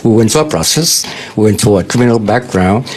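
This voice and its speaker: A man's voice: fairly low pitch, expressive, a little fast, darkish tone. A man from Colombia, speaking unscripted as an audience member at a panel. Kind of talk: unscripted question and personal account. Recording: faint background noise and ambience.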